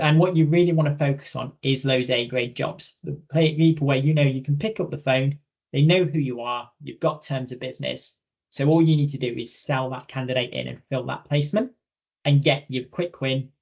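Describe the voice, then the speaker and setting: A man's voice: mid-range voice, brisk, gravelly, dull audio. A man presenting a training webinar in an informal, direct, conversational way, emphasising points through repetition.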